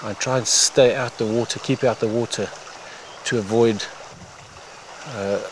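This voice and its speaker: A man giving instructional fly fishing commentary in halting, unhurried phrases. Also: fairly low pitch, fairly even intonation. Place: outdoors on a wooded stream bank. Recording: outdoor stream noise. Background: running water, outdoor ambience.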